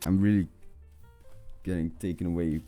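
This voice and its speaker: A young adult man hosting a casual tutorial in an informal, halting way, often repeating words. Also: deep voice, fairly even intonation, warm tone, faint music behind. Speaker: tutorial video host. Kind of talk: casual screen-recording tutorial.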